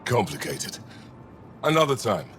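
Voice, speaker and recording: An actor playing a shrewd alien cargo trader in a video game, with blunt, clipped phrasing. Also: male voice, on the low side, sing-song, unhurried, fairly bright tone, slight background noise. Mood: curious, probing. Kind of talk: video game dialogue acting.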